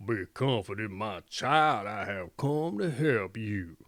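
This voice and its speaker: A man voicing a kindly old woman, a gentle magical helper in a fairy tale, with a low, soothing voice. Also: animated, takes it slowly, no echo, full character voice. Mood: comforting.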